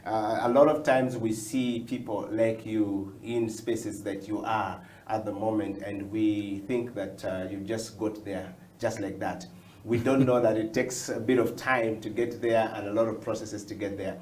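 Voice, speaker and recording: A man's voice: lowish voice, fairly even intonation, measured pace, a little mellow. A man hosting an interview in a conversational way, putting questions to his guest. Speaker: interviewer, host. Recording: distant, echoey, noisy room sound.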